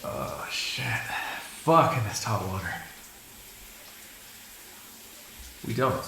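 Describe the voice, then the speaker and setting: A man playing an ageless, cynical male vampire in an audio roleplay, with a gravelly, husky voice and a sardonic, exasperated manner. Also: medium pitch, lively intonation, moderate pace, slight background noise. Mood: weary, wry. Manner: sardonic, drawling, swearing, exasperated asides. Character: ageless male vampire, cynical, menacing, grudgingly fond captive. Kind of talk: ASMR roleplay, one-sided dramatic dialogue.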